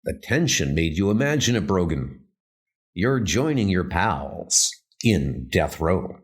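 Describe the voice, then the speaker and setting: Man playing a taunting lawman, unhurried. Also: low voice, big pitch swings, full character voice. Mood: stern. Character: wry, no-nonsense male lawman catching convicts.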